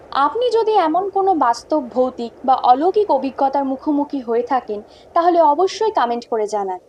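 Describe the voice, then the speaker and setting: High-pitched woman narrating horror suspensefully. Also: a little mellow, a little hiss or hum. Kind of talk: dramatic scripted narration.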